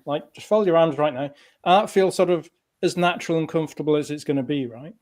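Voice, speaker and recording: A male guest thoughtfully explaining an idea, speaking haltingly and guiding listeners through a small exercise. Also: fairly high pitch, a little mellow. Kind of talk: casual explanation.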